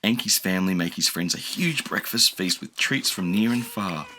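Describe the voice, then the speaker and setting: A man narrating a children's audiobook in a gentle, expressive storytelling manner. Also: deep voice, moderate pace, a little hiss or hum. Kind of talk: children's audiobook read-aloud.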